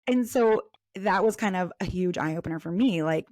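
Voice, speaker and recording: Chatty podcast cohost lady, 40s, lowish voice, dry close mic. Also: normal speed, darkish tone, no room sound. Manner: storytelling, chatty, many "like"s. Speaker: podcast co-host. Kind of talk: casual podcast chat.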